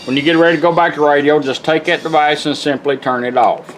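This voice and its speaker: Higher-voiced man giving a folksy demo. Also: steady tone, fast, slight background noise. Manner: folksy, informal step-by-step explaining. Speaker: product demonstrator. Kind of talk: casual hands-on product demonstration. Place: indoors at a workbench.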